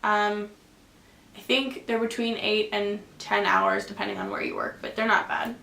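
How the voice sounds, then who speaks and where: A woman's voice: mid-range voice, fairly even intonation, normal speed, vocal fry, pushed and bright. A young woman from Canada working as an air traffic controller, answering viewer questions in a casual, chatty way with plenty of fillers. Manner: chatty, lots of fillers and likes. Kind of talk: casual Q and A vlog. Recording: echoey room, faint background hum.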